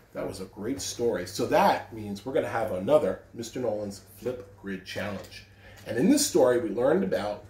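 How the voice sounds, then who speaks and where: A man's voice: mid-range voice, a little mellow. A man in his thirties, reading and talking expressively to children. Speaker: school read-aloud host. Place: living room, indoors. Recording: roomy living-room echo.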